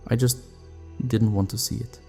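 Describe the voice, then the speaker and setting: Male actor as heartbroken vampire, dark mellow tone, sorrowful halting delivery, guilt-laden, cozy horror audio story, faint music underneath. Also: mid-range voice, steady tone, gravelly, lightly acted. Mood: anguished guilt. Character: guilt-ridden, grieving male vampire returned from exile. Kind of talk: dramatic audio roleplay acting.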